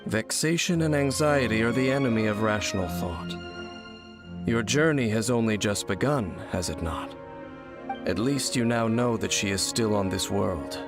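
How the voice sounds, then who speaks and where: An actor playing a calm, wise man who gives slow, formal advice in a video game. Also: on the low side, close to an everyday voice. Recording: game audio with music.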